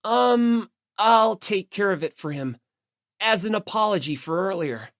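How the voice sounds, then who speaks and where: A man voicing a timid, apologetic high school boy in an audiobook, hesitant and stammering. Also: lively intonation, measured pace, fairly bright tone, dry recording, clean studio sound. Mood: meek. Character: timid, apologetic, easily flustered male high school student. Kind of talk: audiobook character voice.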